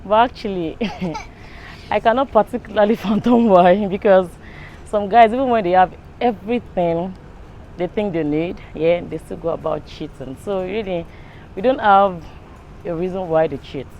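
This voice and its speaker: A woman's voice: medium pitch, normal speed, darkish tone, highs cut off, slight background noise. A Nigerian woman giving her opinion in a casual, conversational style. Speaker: interview respondent.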